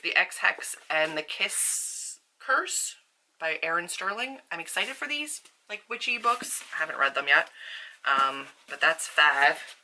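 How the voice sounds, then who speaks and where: A woman talking in a casual, upbeat, chatty vlog style, informal and full of quick asides. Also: low voice, lively intonation, sharp tone, a little hiss or hum, poor audio. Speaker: book vlogger and author. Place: indoors at a desk at home.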